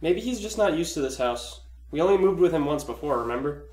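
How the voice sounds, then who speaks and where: Woman playing a lowish-voiced young boy. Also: lively intonation, fairly quick. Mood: offhand. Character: laid-back young boy, protective brother. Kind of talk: amateur radio play acting. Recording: roomy, loud music underneath.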